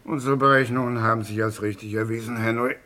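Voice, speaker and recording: A man playing a wary, shrewd, wealthy English gentleman in an old German radio crime drama, with deliberate, dramatic delivery. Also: mid-range voice, fairly even intonation, lightly acted. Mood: shrewd, businesslike. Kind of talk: German radio crime drama acting, mid-20th century.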